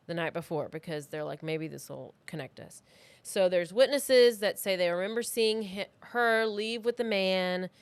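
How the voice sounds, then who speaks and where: A woman's voice: mid-range voice, smooth, no room sound. An American woman hosting a podcast, telling a true-crime story in a chatty, informal way peppered with "like". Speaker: podcast host.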